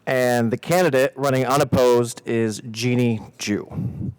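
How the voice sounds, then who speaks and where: A man's voice: medium pitch, steady tone, unhurried, a little mellow, clean tone, dry recording, rough recording. A man moderating a student election debate, reading rules and roles aloud with the odd filler. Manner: reads roles out evenly, with some "uh" fillers. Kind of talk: formal announcements.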